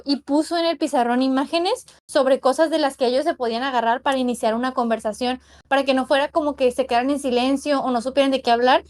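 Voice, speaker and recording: A young woman talks through a class she watched in an easy, casual way. Her voice sits high, and she hedges and qualifies her points, filling pauses as she thinks. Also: a little mellow. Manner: reflective, hedging, many fillers. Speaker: language teaching student. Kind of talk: casual conversation analyzing a class.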